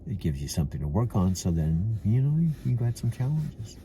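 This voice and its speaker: A man's voice: on the low side, big pitch swings, a little fast, warm tone, slight background noise. An older man with a Californian accent, rambling to himself from a parked car and calling himself "dude" with many restarts. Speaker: vlogger. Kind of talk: rambling self-talk monologue.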